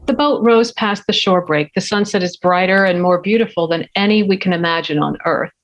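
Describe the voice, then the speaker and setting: A woman's voice: on the low side, brisk. A woman reading scripted screenplay stage directions as narrator at a table read. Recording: dull, lo-fi remote audio.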